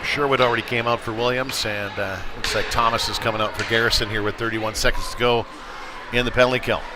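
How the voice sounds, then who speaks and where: A man's voice: mid-range voice, fairly even intonation, fast talker. A man doing live play-by-play of youth ice hockey in a conversational way, with filler words and casual asides. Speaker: play-by-play sports commentator. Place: indoor ice rink. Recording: noisy live rink broadcast.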